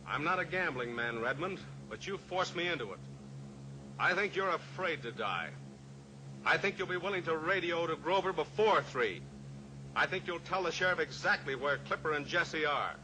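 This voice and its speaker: An actor playing a steely heroic cowboy pilot in an old-time radio drama, measured, taunting and authoritative. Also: male voice, on the high side, lively intonation, lightly acted. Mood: grim, pressing. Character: steely heroic cowboy pilot, coolly pressuring a captive killer. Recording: noisy old radio recording, engine drone.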